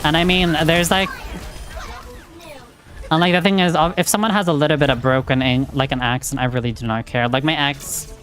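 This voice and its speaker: A woman's voice: low voice, steady tone, brisk, faint music behind. A woman streamer chatting casually and frankly while she plays a game, with lots of filler words. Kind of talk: casual chat while gaming.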